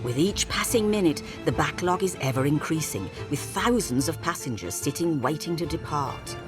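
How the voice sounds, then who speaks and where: A woman's voice: low voice, expressive, moderate pace, music in the background. A woman giving scripted documentary narration with a wry, playful delivery.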